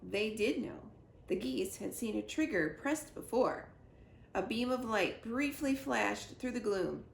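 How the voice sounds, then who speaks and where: A woman reading a children's storybook aloud with expressive narration, recorded in a roomy living room. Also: a little high, measured pace, creaky. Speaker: reader, school librarian or teacher. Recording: roomy echo, faint background noise.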